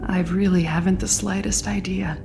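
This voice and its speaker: A woman's voice: medium pitch, fairly even intonation, moderate pace, vocal fry, over music, lightly acted. An actress playing an obliging, earnest woman loyal to her leader in a sci-fi audio drama.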